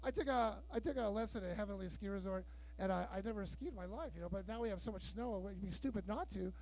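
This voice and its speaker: A man in his fifties with a very high voice works through a crude stand-up set. He tells his stories loudly and acts out every exchange himself, salty language and all. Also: fast talker, poor audio. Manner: loud, bawdy storytelling with acted-out dialogue. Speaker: stand-up comedian. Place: live show room with audience.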